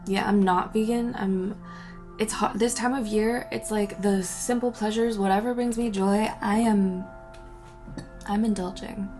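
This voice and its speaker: A woman's voice: medium pitch, fairly even intonation, a little slow, darkish tone, soft background music. A young woman answering viewer questions in a rambling, casual chat, breaking off mid-sentence and laughing between thoughts.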